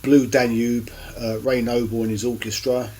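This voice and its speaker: A man giving a casual, unscripted show-and-tell to camera as a record collector, in a halting, rambling way with many pauses. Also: mid-range voice, level tone, mellow. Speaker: record collector presenting finds on his channel.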